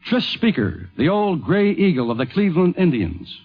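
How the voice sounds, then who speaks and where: A man's voice: high-pitched, very animated, normal speed. A man narrating a scripted radio documentary in a 1950s broadcast style. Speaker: narrator and host. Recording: dull vintage 1950s radio recording.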